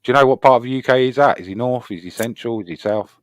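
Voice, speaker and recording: A man's voice: medium pitch, fast talker, no room sound. A man chatting casually on a late-night stream, laid-back, swearing and laughing often. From England. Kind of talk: casual chat.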